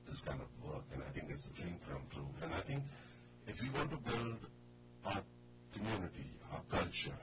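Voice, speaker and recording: Very deep Indian-accented man, heavy background noise. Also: big pitch swings, normal speed. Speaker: radio station host. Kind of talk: earnest informal radio talk. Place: radio studio. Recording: very noisy radio studio recording.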